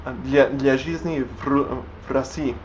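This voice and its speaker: A young man speaks haltingly, breaking off words and starting them over as he searches for them. His voice sits at an ordinary middle pitch. The recording is a little dull and lo-fi, with a faint hiss underneath. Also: fairly even intonation, a little mellow. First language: Spanish. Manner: halting, many restarts and fillers while searching for words. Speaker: language learner vlogging. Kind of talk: unscripted vlog in a learned language.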